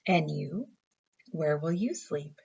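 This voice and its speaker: A woman voicing a gently questioning, practical mother in a bedtime story read aloud. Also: deep voice, lively intonation, slow, creaky, slightly rough, highs cut off, full character voice.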